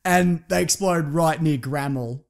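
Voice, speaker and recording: A man's voice: a little high, measured pace, creaky. A man with an Australian accent, co-hosting and retelling a story in casual, rambling, jokey chat with acted-out dialogue. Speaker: podcast co-host retelling a story.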